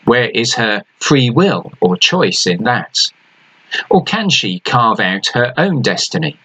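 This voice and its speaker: A man's voice: mid-range voice, fairly bright tone, slightly rough, low-quality recording. A man, an author earnestly introducing his own novel and reading from it.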